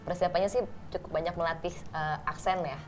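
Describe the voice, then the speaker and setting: Earnest woman with a lowish voice. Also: fairly even intonation, fairly quick, soft background music. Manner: earnest, explaining at length with fillers. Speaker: actor guest. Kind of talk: casual interview chat.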